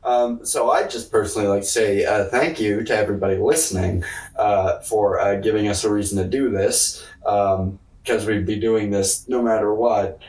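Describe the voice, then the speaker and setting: A man's voice: on the low side, steady tone, moderate pace, darkish tone. Roomy-sounding man bantering on a podcast. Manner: irreverent, joking, profane. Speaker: podcast co-host. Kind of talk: casual comedic banter. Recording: roomy, echoey, distant from mic.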